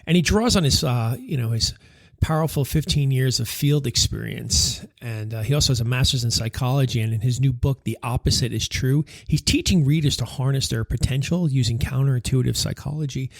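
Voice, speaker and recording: A man's voice: mid-range voice, brisk, creaky, no room sound. A man from New York hosting a podcast interview, rambling casually with lots of filler words and restarts. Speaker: podcast host.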